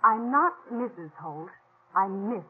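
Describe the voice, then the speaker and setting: An actress playing a self-possessed young frontier woman, brisk and polite, in a 1950s radio drama. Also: medium pitch, animated, breathy edge. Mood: brisk, pointed. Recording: tinny, hissy vintage radio recording.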